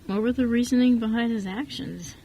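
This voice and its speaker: A woman playing a kindly, courteous silver-haired man in an amateur radio play, speaking calmly. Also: fairly high pitch, a little fast. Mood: calm, polite. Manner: calm, courteous.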